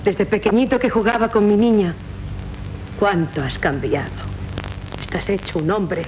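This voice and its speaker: A female actor's fussy, motherly housekeeper in a vintage radio play. She has a medium-pitched voice with an outspoken, homely delivery. The old recording carries faint noise. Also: normal speed, darkish tone, lightly acted, poor audio. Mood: flustered, deferential. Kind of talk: 1970s Spanish radio theatre acting.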